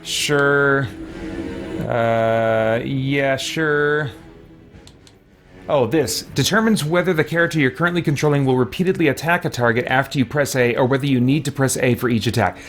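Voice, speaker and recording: A man's voice: medium pitch, moderate pace, fairly bright tone, soft background music. A middle-aged man giving casual, conversational let's play commentary as a gaming streamer, rambling and thinking aloud.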